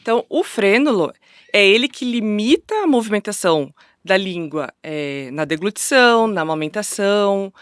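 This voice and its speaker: A woman's voice: medium pitch, expressive, normal speed, smooth, no room sound, clean studio sound. A Brazilian woman guest explaining as an orthodontist in conversation, often tagging phrases with "né". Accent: Brazilian Portuguese. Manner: frequent "né?" tags.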